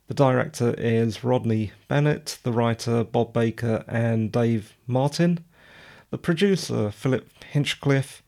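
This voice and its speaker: A man with a slightly croaky voice, chatting casually and digressively as a podcast host. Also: medium pitch, darkish tone. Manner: chatty, digressive, self-deprecating. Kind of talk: casual solo podcast talk.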